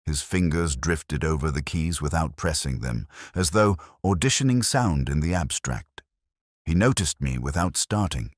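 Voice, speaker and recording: Male narrator for literary detective fiction: very deep, measured and reflective, with expressive intonation. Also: no echo, clean studio sound. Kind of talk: scripted first-person mystery narration.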